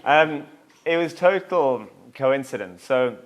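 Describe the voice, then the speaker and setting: A man, a tour company founder telling his story in a relaxed, self-deprecating way, full of fillers and asides. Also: medium pitch, slow, low-quality recording. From South Africa. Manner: self-deprecating, jokey, rambling with many fillers. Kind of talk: casual interview storytelling.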